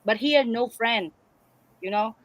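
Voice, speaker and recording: Brief: Vietnamese woman, accented broken English, bright slightly high voice, close dry mic, chatty storyteller. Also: slow, no echo. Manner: animated, broken English, frequent laughter. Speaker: guest. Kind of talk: casual storytelling chat.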